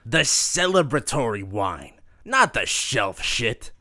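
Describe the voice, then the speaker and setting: Man playing an irritable, forceful gangster boss. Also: mid-range voice, very animated, no echo, clean studio sound, voice pushed up, heavily acted. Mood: exasperated. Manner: slangy, drawn-out barking orders. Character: irritable male gangster boss, curt and commanding. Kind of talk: audio drama character acting.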